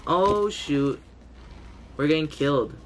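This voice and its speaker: A man's voice: a little high, slow pace, heavy background noise. A young man with a congested, stuffy nose, giving hyped, slangy gameplay commentary indoors. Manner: hyped, slangy, loud exclamations. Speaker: gaming youtuber. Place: indoor room, green screen. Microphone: headset, webcam framing.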